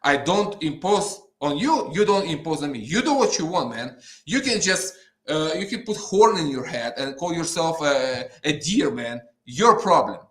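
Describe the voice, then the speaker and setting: A man's voice: on the high side, very animated, normal speed, a bit bright. A man with an Eastern European accent ranting in conversation, blunt and fired-up, swearing and repeating himself. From Ukraine, former Soviet Union. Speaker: guest, petition campaigner. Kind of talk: impassioned conversational rant. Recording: roomy, echoing sound.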